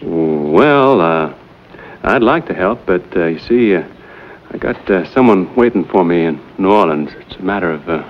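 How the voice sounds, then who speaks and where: Low drawly frontier guy, lots of uhs, dull old tv sound w faint hiss. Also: animated, normal speed. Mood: hesitant. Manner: hesitant, drawling, full of uhs. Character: easygoing frontier man, dry and wry. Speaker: actor in a western drama. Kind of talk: vintage television drama acting.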